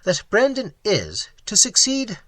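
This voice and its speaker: A man's voice: high voice, huge pitch swings, takes it slowly, fairly bright tone, no room sound, pitched above the performer's own voice, full character voice. A man reading a bored, idle earl who speaks in an offhand way.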